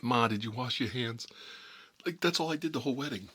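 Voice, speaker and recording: A man's voice: medium pitch, brisk, bright, forceful tone, husky. A man playing a chatty, boastful American mob boss who rambles and repeats himself in a casual ASMR role-play monologue. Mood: chatty, satisfied. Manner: folksy, repetitive, rambling, emphatic. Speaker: ASMR storyteller playing a recurring character.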